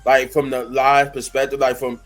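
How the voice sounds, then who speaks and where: A hoarse man giving a casual, rambling wrestling review, full of fillers and restarts. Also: medium pitch, little pitch movement, normal speed.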